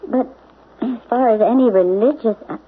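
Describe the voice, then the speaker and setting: A woman's voice: a little high, moderate pace, breathy edge. A doubtful woman friend on old radio. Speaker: Ruthie, a friend of the narrator. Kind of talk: 1970s radio drama acting. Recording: tinny, lo-fi old radio broadcast.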